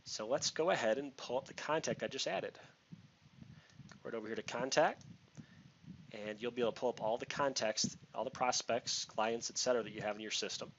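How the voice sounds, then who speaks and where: Man giving software demo, muffled noisy recording. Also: mid-range voice, a little fast, long pauses. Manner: informal, step-by-step explaining. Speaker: software demonstrator. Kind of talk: casual screen-share product walkthrough. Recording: muffled lo-fi mic with background hiss.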